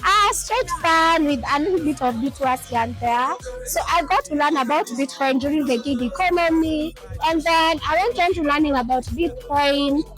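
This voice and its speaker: A woman educator speaking confidently and clearly in a documentary interview. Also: high voice, normal speed, fairly bright tone, faint music behind, poor audio. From Kenya.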